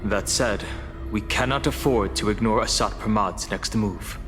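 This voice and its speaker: A cautious male advisor from a video game, acted by a man, speaks seriously and formally. His voice is fairly low, over loud music. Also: measured pace, lightly acted.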